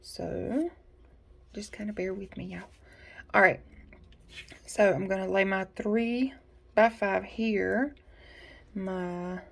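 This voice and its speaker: Gravelly, congested woman crafting. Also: medium pitch. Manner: chatty, folksy, talks to viewers while working. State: congested from allergies. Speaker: craft tutorial host. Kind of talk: casual step-by-step craft tutorial. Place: home craft desk.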